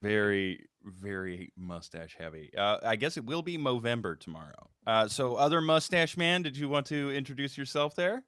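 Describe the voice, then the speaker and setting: A man's voice: mid-range voice, moderate pace, hardly any pauses, a bit bright, smooth, no room sound. Joking man hosting, dry close sound. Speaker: host and script writer. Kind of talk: casual live stream chat.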